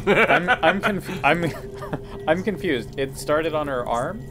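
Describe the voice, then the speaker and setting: A man's voice: on the high side, animated, slow, noisy background. A man playing a tabletop role-playing game, describing his character's actions in the third person and laughing easily. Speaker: player.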